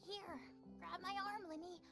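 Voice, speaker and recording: A woman's voice: very high-pitched, steady tone, creaky. A woman voicing the chatty, curious ghost of a young girl in a game cutscene, talking in a bubbly, wondering way. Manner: bubbly, talkative, slow and wondering. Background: game music score.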